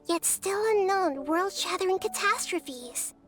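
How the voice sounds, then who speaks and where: A woman voicing a gentle, wise, childlike goddess in an anime-style video game, speaking softly in a measured, thoughtful way. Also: very high voice, expressive, soft background music, full character voice. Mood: solemn, wise.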